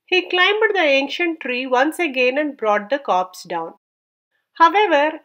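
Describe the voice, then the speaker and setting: A woman with a high-pitched voice reads a folk story aloud. She works as a storyteller, delivering scripted narration. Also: a bit bright.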